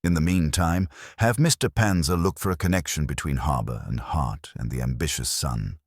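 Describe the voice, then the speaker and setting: A man voicing an imperious, cerebral detective in an audiobook mystery, speaking formally in measured, pompous pronouncements. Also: extremely low pitch, dry recording, studio quality. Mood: commanding.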